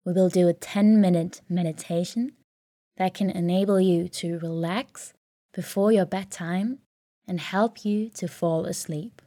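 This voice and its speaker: Female meditation guide: unhurried, soothing delivery, lowish voice, gentle pauses for a bedtime relaxation script. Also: fairly even intonation, no echo, studio quality. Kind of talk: guided sleep meditation, soft scripted narration.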